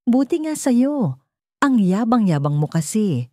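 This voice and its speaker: A woman's voice: fairly high pitch, very animated, a little slow, darkish tone, dry recording, clean studio sound, pitched above the performer's own voice. A woman voicing a spiteful young stepsister in an audio story, haughty, bossy and taunting.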